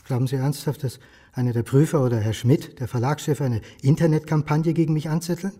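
A man's voice: fairly high pitch, fairly even intonation, a little fast, warm tone, close to an everyday voice. An actor playing an uneasy, wry male academic in a radio crime drama. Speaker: radio drama lead and first-person narrator.

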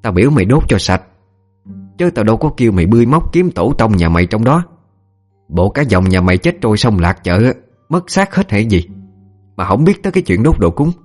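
A man's voice: on the low side, animated, normal speed, pauses often, a little mellow, slightly rough. Man voicing a raging, cruel Vietnamese mistress, music behind. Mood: furious. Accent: Southern Vietnamese. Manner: berating, snarling word by word. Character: cruel, scolding middle-aged rich household mistress, a mother.

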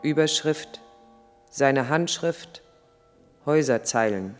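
A man's voice: medium pitch, expressive, slow pace, long reverb tail, soft background music. A man acting as a sober, probing chronicler in a 1980s German radio play. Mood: sober, deliberate. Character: sober, probing male chronicler narrating a man's life.

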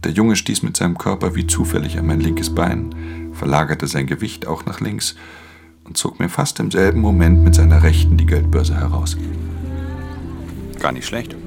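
A very deep-voiced, gravelly man as a world-weary pickpocket in a crime radio play. He speaks tersely and quietly, in a matter-of-fact way, with music playing underneath. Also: expressive, moderate pace, heavily acted. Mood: calm, instructive. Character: wary, world-weary male pickpocket narrating his crimes. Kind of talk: German radio play narration and dialogue.

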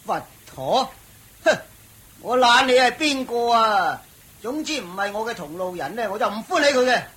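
An actor playing a rude, hot-tempered traveller, brash and confrontational, speaking Hong Kong Cantonese in radio drama style. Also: male voice, very high-pitched, expressive, moderate pace, sharp tone, exaggerated voice. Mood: hostile, aggressive. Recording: faint background noise.

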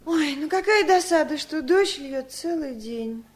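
An actress playing a tender, guileless young daughter in a radio drama. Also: female voice, high-pitched, animated, a little slow. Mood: tender concern. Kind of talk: 1970s radio drama acting.

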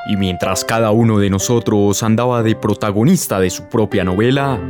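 Colombian male radio drama narrator over music. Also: mid-range voice.

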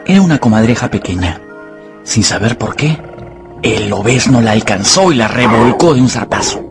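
A man's voice: mid-range voice, animated, moderate pace. A man narrating a dramatised story for radio from a script. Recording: music under the voice.

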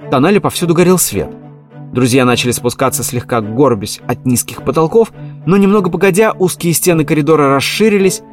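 A man narrating a children's animal story in a playful, lively way. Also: a little high, a little fast, soft background music.

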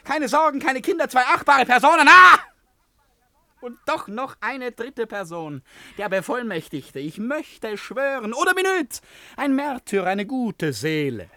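A man's voice: extremely high pitch, very animated, unhurried, pushed and bright, no echo, studio quality, heavily acted. A man in a German radio play acting a tormented, lovesick eccentric with an intense, erratic, theatrical manner. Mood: bitter, agitated. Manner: theatrical, erratic, intense, swinging between outbursts and whispers. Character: tormented, eccentric, obsessively lovesick male stranger.

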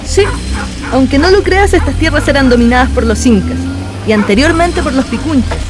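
A woman's voice: high-pitched, animated, normal speed. Actress for young Chilean woman, radio drama: informed guide, secretive, scripted delivery, over music. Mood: explanatory. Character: Paula, knowledgeable young woman guiding her friend, secretive.

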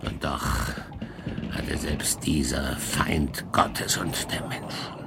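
Low, gravelly, anguished monster. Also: male voice, steady tone, very noisy, full character voice. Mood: weary grief. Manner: slow, brooding, swinging from whispers to outbursts. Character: anguished, bitter male monster created by a scientist. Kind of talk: German radio drama acting.

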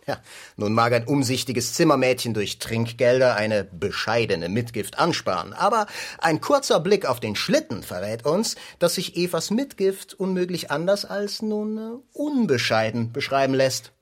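A man's voice: medium pitch, big pitch swings, a little slow. An actor playing a genial, courteous investigator in a radio drama, telling his findings with wry, discreet amusement. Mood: amused, wry. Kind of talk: radio drama acting, explanatory storytelling.

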